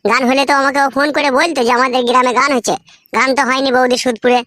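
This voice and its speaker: A man voicing an earnest, cautious village neighbour in a cartoon, with a pitched-up voice. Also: level tone, very fast, pushed and bright, no echo. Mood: confiding, serious.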